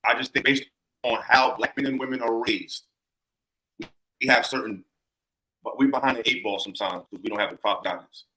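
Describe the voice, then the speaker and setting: A man's voice: medium pitch, fairly even intonation, fairly quick, pauses often, sharp tone, rough recording. A man speaking as a panelist in a heated debate, emphatic and argumentative, citing data to make his case.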